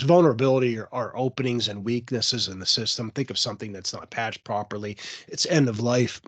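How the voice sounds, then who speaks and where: A man presenting a cybersecurity webinar, a little hoarse from a cold, explaining with frequent fillers and restarts. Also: on the low side, a bit bright, no room sound.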